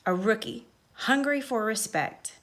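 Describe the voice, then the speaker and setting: A woman reading a children's story aloud with expressive, measured, dramatic narration. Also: on the high side.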